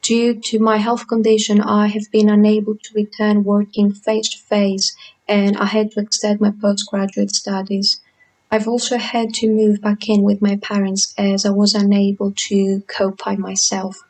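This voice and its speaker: Woman from greece, late 20s, composed, steady patient account, not much melody. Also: mid-range voice, normal speed, poor audio. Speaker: patient giving testimony. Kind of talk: read personal testimony.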